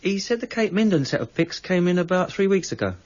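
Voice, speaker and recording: An actor playing a junior male detective in a radio crime drama, husky, informal and questioning, deferring to his superior. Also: sits high, sing-song, fast, a little mellow. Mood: puzzled. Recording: dull, muffled radio drama recording.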